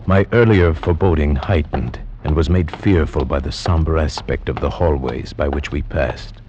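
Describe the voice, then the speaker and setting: An actor playing a grave, dread-filled gentleman, narrating solemnly and deliberately in theatrical old-time radio horror style. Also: a bass voice, slight background noise. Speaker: narrator and visiting friend. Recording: muffled vintage radio recording with music. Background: music.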